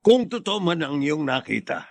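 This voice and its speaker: Cast a gruff patriarch, gravelly and dry, commanding. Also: male voice, on the high side, sing-song, normal speed, dry recording, full character voice. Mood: stern. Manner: authoritative, curt. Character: stern gruff father, family head. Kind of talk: radio drama acting.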